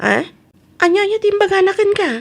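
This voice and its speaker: A woman with an Ilocano Filipino accent acting a sharp-tongued, bossy shop-owning aunt in a comedy radio drama, emphatic and nosy. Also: extremely high pitch, very animated, fast, creaky, exaggerated voice. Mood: nosy, animated.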